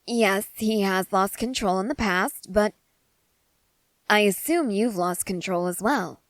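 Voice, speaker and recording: An eager scientist soldier played by a woman in an audio roleplay, talking straight to the listener. She is excitable and earnest, leaning on key words and halting between thoughts. The voice sits at a middle pitch in a close, dry recording. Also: no echo, close to an everyday voice. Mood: earnest reassurance. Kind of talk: ASMR character roleplay addressed to the listener.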